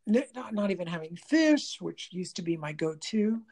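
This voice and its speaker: A woman's voice: lowish voice, animated, moderate pace, a little mellow. A woman with a slightly nasal voice in casual conversation, rambling with many restarts. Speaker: guest talking about diet and the body.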